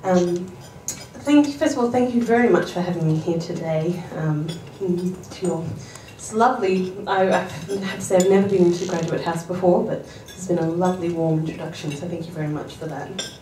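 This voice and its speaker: A woman's voice: on the low side, moderate pace, few pauses, warm tone, a little hiss or hum. A young Australian woman in her twenties, a guest speaker with a cold, sounding a little nasal and politely apologetic. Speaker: guest speaker, writer and editor. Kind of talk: public talk. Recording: roomy hall, distant mic, faint dishes.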